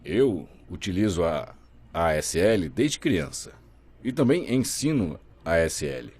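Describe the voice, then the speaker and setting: Husky low-voiced man interpreting. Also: big pitch swings, slow, darkish tone, no room sound. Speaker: voice interpreter for a deaf guest. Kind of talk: simultaneous voice interpreting, first person.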